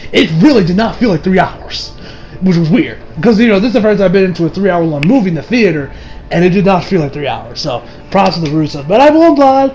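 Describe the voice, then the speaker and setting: A man giving a casual, rambling review in an informal, excitable, sweary way. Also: high voice, a little fast, soft background music. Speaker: YouTube movie reviewer.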